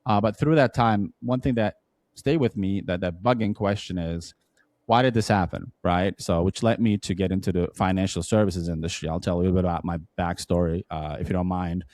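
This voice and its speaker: A man's voice: low voice, fairly even intonation, fairly quick, darkish tone, dry recording. A man with a Turkish accent, a non-native English speaker, telling his story as a podcast guest in an earnest way, with many restarts and fillers. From Turkey. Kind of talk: conversational storytelling.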